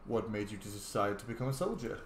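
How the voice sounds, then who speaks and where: A man's voice: fairly low pitch, lively intonation, brisk. A man voicing a polite, slightly flirty, inquisitive male adventurer in a fantasy game roleplay. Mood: curious. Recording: echoey room with faint noise.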